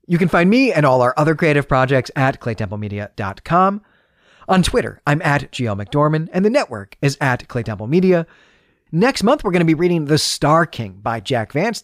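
A man's voice: on the high side, no echo, clean studio sound. A man hosting a book review podcast, speaking in articulate, literary, carefully phrased commentary. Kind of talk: solo book review commentary, partly scripted.

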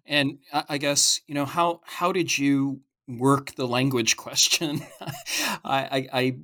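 A man asking long questions in an academic podcast interview. He talks hesitantly, circling and restarting as he thinks aloud. His voice sits at an ordinary middle pitch. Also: level tone, slow, pushed and bright. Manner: hesitant, many restarts and fillers. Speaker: podcast host interviewing an author.

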